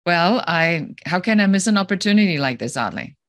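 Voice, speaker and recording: A woman's voice: lowish voice, animated, brisk, dry recording, studio quality. A woman with a German accent, a business coach answering interview questions in a direct, straightforward way and explaining with everyday examples.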